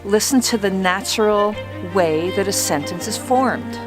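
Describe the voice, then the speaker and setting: A woman who once worked as a trial lawyer, coaching viewers on public speaking in a calm, deliberate and well-projected voice. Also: mid-range voice, music in the background. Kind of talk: instructional talk to camera.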